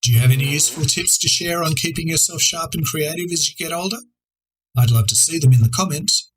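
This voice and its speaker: A man presenting an educational video as a writer, reading scripted instructional narration in a measured, articulate way. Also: on the high side, a bit bright, slight background noise, rough recording.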